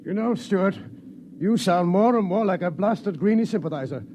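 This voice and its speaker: High-voiced, blustering male patriot. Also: expressive, normal speed, warm tone. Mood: belligerent, accusing. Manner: accusing, blustery, emphatic. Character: blustering, belligerent, suspicious human patriot. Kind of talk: 1950s American radio drama acting. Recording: muffled, hissy 1950s radio recording.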